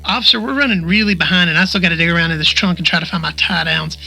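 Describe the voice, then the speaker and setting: A brisk, wry young man as a studio sidekick in an audio drama. He talks fast in a fairly high, very bright voice. His manner is casual, and music plays underneath. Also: poor audio. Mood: businesslike. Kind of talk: naturalistic audio drama acting.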